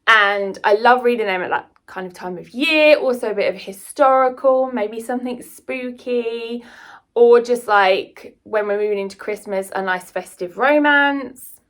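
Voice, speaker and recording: A woman's voice: a little high, a little slow, fairly bright tone. A British woman chatting casually and enthusiastically to viewers in a rambling book vlog. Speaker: book vlogger and writer.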